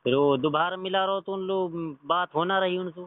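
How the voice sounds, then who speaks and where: A man's voice: high-pitched, normal speed, dry recording. A man asking questions as a reporter in a casual phone interview. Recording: tinny phone call recording.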